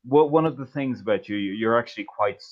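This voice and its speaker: A man's voice: medium pitch, fast, crowd noise. A man interviewing a student, asking casually with some stumbling restarts. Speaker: interviewer for the school. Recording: muffled, dull sound.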